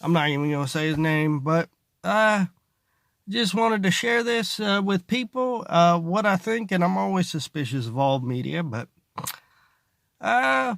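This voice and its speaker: A man with a creaky voice giving a casual, rambling, sarcastic comedy monologue, recorded in a car. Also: high voice, lively intonation, a little slow, a bit bright, no echo, studio quality. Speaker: stand-up comedian, vlogger. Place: inside a parked car.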